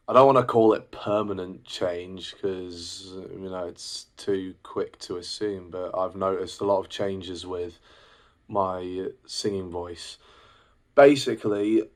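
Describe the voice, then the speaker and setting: A young man in his twenties with a deep, low voice talks to camera in a casual, personal way. He rambles a little, pausing on fillers and restarting his sentences as he works through his thoughts. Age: 20s. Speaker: singer and vlogger.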